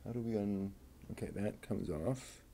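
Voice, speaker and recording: A man quietly talking himself through assembling a plastic model kit, half-mumbling as he works. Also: on the low side, gravelly, slight background noise. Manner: mumbling to himself while working, unhurried. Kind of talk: muttered build commentary.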